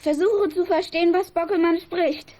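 A woman's voice: very high voice, fairly even intonation, normal speed, dark tone. A female performer acting an alert, plucky teenage girl sleuth in a children's radio play. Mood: alert, urgent. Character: Claudia, teenage schoolgirl detective, alert and plucky. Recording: faintly noisy old audio drama.

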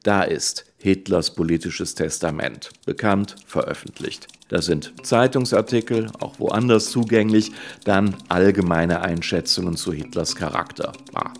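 Low-pitched, dry male documentary host. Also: measured pace, vocal fry. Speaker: radio documentary host and researcher. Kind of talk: casual, conversational documentary narration. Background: music.

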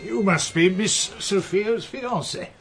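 An actor with a British accent playing a courteous, pedantic family solicitor in a radio drama, prim and formal. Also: male voice, high-pitched, takes it slowly, music in the background. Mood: polite, fussy.

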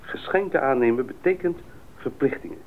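Actor as lively, earnest explainer, tinny radio sound. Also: male voice, mid-range voice, fairly quick, close to an everyday voice. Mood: earnest, reflective. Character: thoughtful, knowledgeable male expedition member, reasonable and earnest. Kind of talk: Dutch radio play acting. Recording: tinny old radio recording, faint noise.

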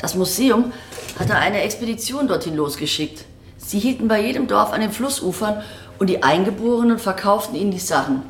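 An actress playing a blunt, disillusioned museum director in a German radio drama, speaking in a matter-of-fact, sardonic way. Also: female voice, mid-range voice, animated, fairly quick, lightly acted. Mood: explanatory. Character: blunt, disillusioned female museum director explaining her collection. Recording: roomy, echoing archive-like acoustic.

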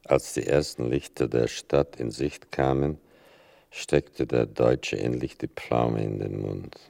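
An actor playing a grave, brooding narrator in a radio play, reading scripted literary narration. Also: male voice, a bass voice, steady tone, slow, gravelly, warm tone, exaggerated voice. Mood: sombre.

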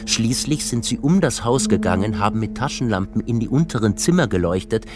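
A man playing a sober narrator in a literary radio play, reporting in a measured, matter-of-fact way. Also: lowish voice, lively intonation, lightly acted. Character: sober male narrator reporting an old man's lapses. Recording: prominent music under the voice. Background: music.

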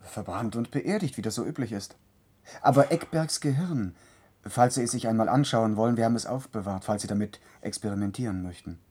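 Mellow, casually callous clinic surgeon. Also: male voice, lowish voice, fairly quick, slightly rough. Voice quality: mellow, soft-edged. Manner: obliging, breezily matter-of-fact. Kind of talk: German science-fiction radio play acting. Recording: faintly noisy old radio recording.

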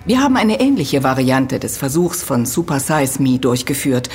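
A woman's voice: very deep voice, animated, moderate pace, darkish tone, a little hiss or hum. A woman playing a middle-aged professor in an audio drama, lecturing precisely and patiently correcting others with firm emphasis. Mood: matter-of-fact. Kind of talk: German audio drama dialogue.

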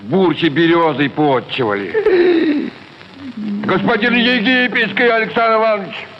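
An actor playing a bumbling, boastful village medical orderly in a radio play, stammering, repeating himself and easily offended. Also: male voice, high voice, a little slow. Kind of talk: theatrical radio play acting. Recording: muffled, noisy old radio recording.

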